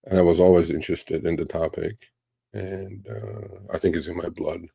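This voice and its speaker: A man in his fifties from Turkey, hosting a livestream Q&A and telling stories in a relaxed, rambling way with many fillers. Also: low-pitched, normal speed, gravelly, breathy edge, no echo, clean studio sound. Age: 50s. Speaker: livestream host. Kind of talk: casual livestream Q&A.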